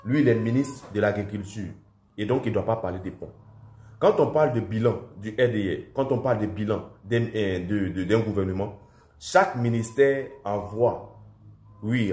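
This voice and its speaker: A man's voice: lowish voice, moderate pace, a little mellow. Man, Ivorian French accent, stuffy from a cold, indignant commentary, repetitive and emphatic, echoey room. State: has a cold. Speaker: political commentator. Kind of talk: impassioned video commentary. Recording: roomy echo.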